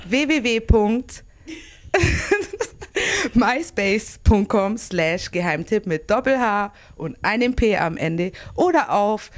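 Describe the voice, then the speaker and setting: Brief: woman in her twenties, relaxed musician guest who laughs a lot, dry close sound. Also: medium pitch, no room sound. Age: 20s. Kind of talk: casual interview answers.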